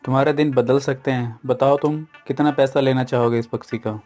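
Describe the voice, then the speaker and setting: A man's voice: mid-range voice, steady tone, brisk, darkish tone, close to an everyday voice. Guy doing a rich pushy dad businessman in a hindi story, mid voice, bit of music behind. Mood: proud, pressing. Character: wealthy businessman father, proud, pushy, indulgent to daughter.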